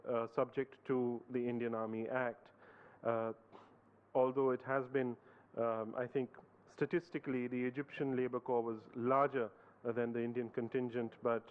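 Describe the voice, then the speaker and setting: Session chair: man, flat delivery, hesitant with fillers, narrow phone-like sound. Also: medium pitch, clean tone. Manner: halting, many fillers and restarts. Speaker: conference chair. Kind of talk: academic conference remarks. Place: university lecture hall.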